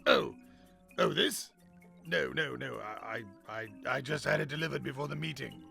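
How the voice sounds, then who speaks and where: A man's voice: medium pitch, normal speed, vocal fry, bright, forceful tone, faint music behind. A man playing a blustering giant gorilla supervillain in a comic radio play, pompous and defensive.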